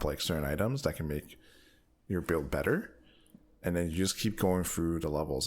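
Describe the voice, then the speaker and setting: A man co-hosting a gaming podcast, chatting casually and informally. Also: low voice. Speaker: podcast co-host.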